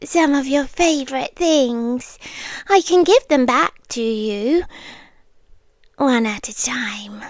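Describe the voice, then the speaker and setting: A woman voicing a possessive, sweetly menacing queen of the forest, who speaks softly, slowly and coaxingly, often in a whisper. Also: high-pitched, no echo. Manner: soft, slow, whispery and coaxing. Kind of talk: scripted audio roleplay.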